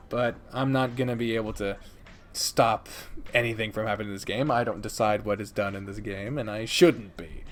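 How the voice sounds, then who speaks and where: A man giving opinionated, conversational review commentary as a video creator, speaking emphatically with dramatic build-ups and casual swearing. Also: medium pitch. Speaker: video creator ranking favorite games.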